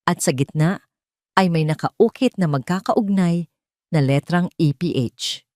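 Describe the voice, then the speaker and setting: A woman's voice: lowish voice, measured pace, a little mellow, no room sound, clean studio sound. A woman narrating a scripted dramatic story in a solemn, emotive manner.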